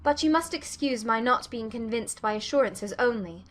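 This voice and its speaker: A woman voicing a spirited, candid young gentlewoman in a dramatized classic novel, articulate and politely challenging. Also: fairly high pitch, animated, normal speed, lightly acted. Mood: firm, sceptical.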